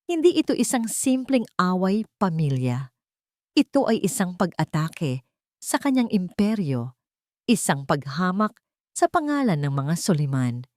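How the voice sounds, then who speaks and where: A woman's voice: lowish voice, darkish tone, no echo, studio quality. A woman reading a dramatic scripted story as an audiobook narrator.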